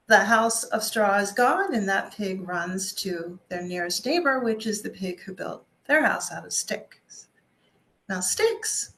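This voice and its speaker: Woman, mid-range voice, unhurried pace, scripted storytelling, deliberate pauses, motivational speaker. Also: medium pitch, a bit bright. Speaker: speaker and coach. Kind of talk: scripted personal testimony told as a story.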